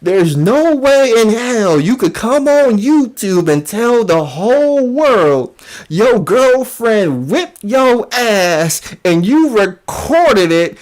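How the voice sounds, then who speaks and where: Slow-paced YouTube commentator for a mocking call-out piece; incredulous, repeats phrases to hammer them home. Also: mid-range voice, a little mellow. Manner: emphatic, repetitive, incredulous.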